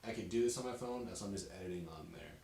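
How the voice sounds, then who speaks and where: A young man hosting a casual chat, relaxed and full of fillers like "like" and "you know". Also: sits low, rapid-fire, vocal fry. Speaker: podcast host, comedian. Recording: echoey room, faint hiss.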